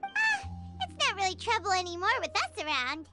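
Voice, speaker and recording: A woman's voice: extremely high pitch, huge pitch swings, moderate pace, over music, exaggerated voice. A woman voicing a small, earnest, proud nonhuman girl inspector in an anime game, childlike and matter-of-fact. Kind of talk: anime video game voice acting.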